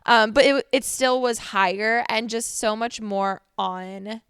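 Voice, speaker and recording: A woman's voice: fairly high pitch, measured pace, fairly bright tone, clean tone, no room sound, clean studio sound. A young woman co-hosting a podcast, chatting casually and laughing often while lying on a couch at home. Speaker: podcast co-host. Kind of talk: casual chat.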